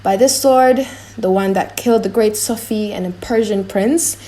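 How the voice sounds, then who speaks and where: Woman playing a proud, soft-spoken Moorish prince. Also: medium pitch, normal speed, natural voice. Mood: boastful, wistful. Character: proud, ardent Moorish prince, boastful suitor. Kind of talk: dramatic audiobook acting.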